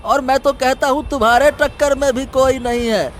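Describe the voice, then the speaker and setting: An actor voicing a sarcastic market bystander who jeers with exaggerated praise in a comic animated story. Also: sits high, normal speed, a little hiss or hum, lightly acted. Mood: mocking.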